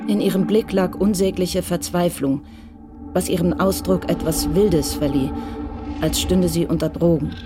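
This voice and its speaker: A narrator reading a radio crime drama in a measured, even way. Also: medium pitch. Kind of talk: scripted narration. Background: music.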